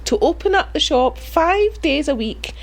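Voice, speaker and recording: A woman's voice: sits high, lively intonation, a little slow, vocal fry, heavily acted. An actress playing a small-town mother, sweet on the surface but pointed and assertive. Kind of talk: audio drama acting.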